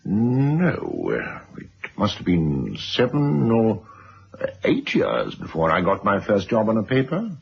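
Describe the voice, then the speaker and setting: Deep, gravelly blustering businessman. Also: huge pitch swings, takes it slowly, heavily acted. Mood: dismissive. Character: brusque self-made businessman, husband and father. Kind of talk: 1970s radio drama acting. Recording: dull, noisy old radio recording.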